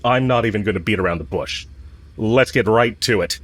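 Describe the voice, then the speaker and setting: A man performs a smitten villain with a low voice, speaking straight to the listener as in an intimate audio drama. He is cocky and casual, teasing as he goes, and blunt about his affection. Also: fairly quick, fairly bright tone. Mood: frank, teasing. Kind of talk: romantic audio roleplay, spoken intimately to the listener.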